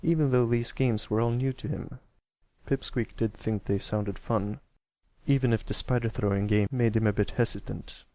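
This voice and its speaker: A man narrates a storybook tale in a mid-pitched voice with a gravelly creak. The recording is close and dry, and he reads as an amateur audiobook narrator. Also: fairly even intonation, moderate pace, darkish tone, dry recording.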